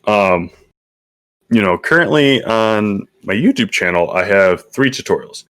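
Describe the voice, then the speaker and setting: A man being interviewed on a live stream, telling joking stories in a relaxed way. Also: on the low side, unhurried. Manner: joking, storytelling, easy laughs. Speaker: guest, content creator. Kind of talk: casual interview answers.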